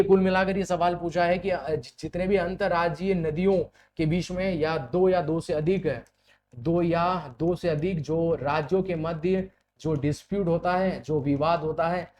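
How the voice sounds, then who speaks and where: Male exam coach, higher-pitched voice, explanatory and repetitive, with some background noise. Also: darkish tone. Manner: repetitive, explanatory, questioning the students. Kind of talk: classroom lecture for exam preparation.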